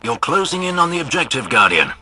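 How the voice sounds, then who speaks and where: Forceful, confident military commander. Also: male voice, medium pitch, very animated, fast talker. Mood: encouraging. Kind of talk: scripted video game dialogue.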